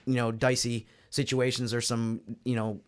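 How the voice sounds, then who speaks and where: Mid-pitched American man talking sports. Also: little pitch movement, moderate pace, clean tone. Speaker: podcast panelist covering basketball. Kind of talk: casual sports roundtable talk.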